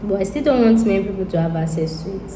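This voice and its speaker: A woman's voice: medium pitch, lively intonation, fast talker. A Nigerian woman talking casually to her viewers, thinking aloud and repeating herself as she rambles. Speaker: YouTuber. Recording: echoey room with faint ambient noise.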